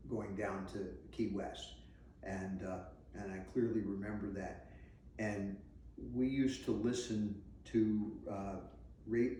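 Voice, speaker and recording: A man in his sixties from New Jersey, recalling his past in a rambling, halting way during an unscripted interview. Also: lowish voice, a little mellow. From New Jersey, USA. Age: 60s. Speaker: interviewee sharing memories. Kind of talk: unscripted oral-history recollection. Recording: echoey room, mic at a distance, faint noise.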